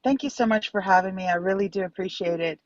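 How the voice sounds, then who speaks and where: A woman's voice: mid-range voice, quick pace, darkish tone, no room sound. A woman from Dallas, a city council candidate, speaking earnestly as a remote guest from her car, with many fillers. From Dallas, Texas. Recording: dull, dry call audio.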